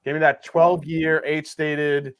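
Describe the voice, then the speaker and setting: A man's voice: a little high, level tone, a little slow, clean tone, no echo. A man with a New York accent hosting a casual live whiskey stream, laughing often and restarting his sentences. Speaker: host of a whiskey review stream.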